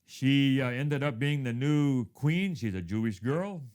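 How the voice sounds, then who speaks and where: Fifty-something guy who grew up in thailand, middle-pitched voice, slow reflective storytelling that goes quiet a lot. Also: clear, resonant tone. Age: 50s. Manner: reflective storytelling, often drops to a hushed tone. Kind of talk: devotional talk with personal story.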